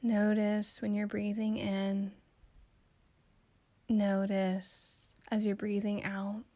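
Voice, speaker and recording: A woman guiding a meditation in a calm, soothing, gently instructive way, with soft phrases that trail toward a whisper. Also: mid-range voice, slow pace, dry recording. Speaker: meditation guide. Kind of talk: slow guided meditation narration.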